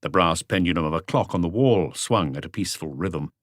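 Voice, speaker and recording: A man's voice: low-pitched, animated, brisk, dry recording, studio quality. A man narrating an audiobook story from a script.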